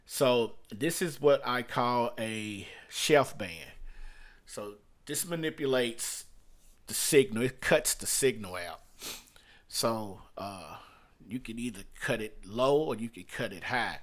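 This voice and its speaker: A man's voice: mid-range voice, expressive, slow. Middle-aged man giving an informal tutorial. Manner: conversational, repeats and rephrases points for emphasis. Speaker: music producer teaching a software tutorial. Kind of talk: informal screen-share tutorial, unscripted. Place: small room at home.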